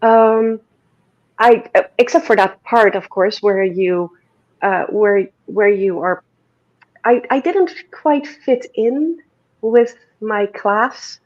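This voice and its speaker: Dutch-accented woman, rambling and warm. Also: mid-range voice, takes it slowly, highs cut off. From Netherlands. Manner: rambling, frequent fillers and restarts. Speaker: guest. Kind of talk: casual interview conversation.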